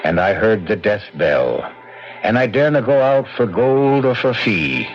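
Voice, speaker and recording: Over music on a muffled old radio recording, an ominous American mystery host. He narrates in a low, theatrical, portentous voice, like a folksy storyteller.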